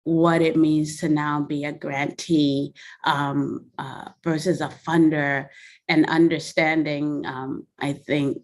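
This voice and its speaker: A woman who is an executive director, sharing a measured, reflective account with a group, with frequent ums. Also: low voice, flat delivery. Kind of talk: group reflection on a video call.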